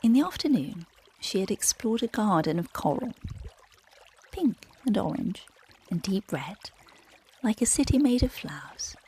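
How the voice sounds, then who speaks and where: A woman guiding children through a relaxation and bedtime story in a gentle, soothing, breathy voice that often drops close to a whisper. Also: medium pitch, big pitch swings, a little slow. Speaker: meditation guide and bedtime storyteller.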